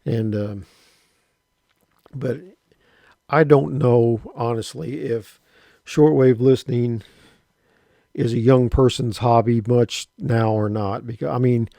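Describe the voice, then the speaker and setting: A man in his late fifties telling a rambling, halting personal story about his radio hobby, often restarting his sentences. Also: medium pitch, steady tone, vocal fry, dark tone, dry recording. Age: 50s. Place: rural home.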